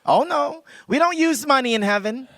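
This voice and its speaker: Guardian angel, male actor: old, innocent, cheery, high and very animated, radio-play delivery. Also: moderate pace, dry recording. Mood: bright, eager. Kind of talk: live radio play acting.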